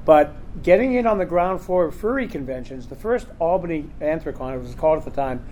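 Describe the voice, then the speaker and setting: A man's voice: on the high side, expressive, fairly quick, darkish tone, a little hiss or hum. Chatty man hosting a convention panel. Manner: rambling, chatty, full of fillers. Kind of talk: casual panel talk. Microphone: recorder in the room.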